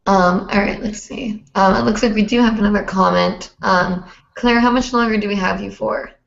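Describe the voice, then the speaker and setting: Hoarse young woman hosting a livestream. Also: medium pitch, steady tone, normal speed. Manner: chatty, rambling with many fillers. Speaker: host. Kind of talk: casual livestream chat. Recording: roomy, dull lo-fi stream audio.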